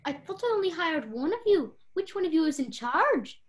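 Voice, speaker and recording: A woman's voice: extremely high pitch, sing-song, moderate pace. A young woman playing a polite, flustered hostess in a radio mystery play. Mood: apologetic. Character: polite, flustered hostess who hired the detectives.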